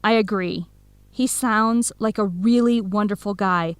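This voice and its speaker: A woman's voice: medium pitch, a little slow, clear, resonant tone, no echo, studio quality, lightly acted. An actress playing a candid, concerned young woman friend, questioning bluntly and expressively in an English-learning radio drama. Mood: exasperated, skeptical.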